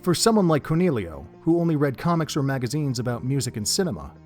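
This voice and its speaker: A man narrating an audio drama in an expressive storybook manner. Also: mid-range voice, fast. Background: faint music.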